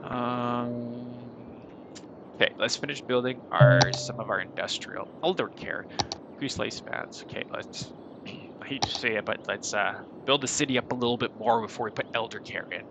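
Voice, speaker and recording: Male streamer, stuffy and nasal from a cold, gravelly tone, chatty rambling delivery, noticeable background noise. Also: mid-range voice, normal speed. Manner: rambling, chatty, self-deprecating. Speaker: gaming streamer. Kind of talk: casual gameplay commentary.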